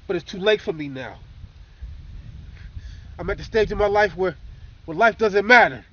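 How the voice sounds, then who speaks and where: A troubled middle-aged man played by a male actor speaks in a fairly high male voice, bitter and brooding. He delivers his lines as screen drama, with weighted pauses that hint at darkness underneath. The sound is dull and lo-fi. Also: expressive, bright, forceful tone. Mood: despairing, resigned. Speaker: actor performing a monologue and scene reel. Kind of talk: dramatic screen acting, monologue and scene.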